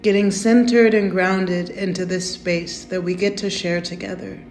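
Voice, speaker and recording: A woman leading a guided meditation in a gentle, soothing, unhurried way, softly inviting the listener to breathe. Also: on the low side, steady tone, slight background noise. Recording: roomy echo with faint music.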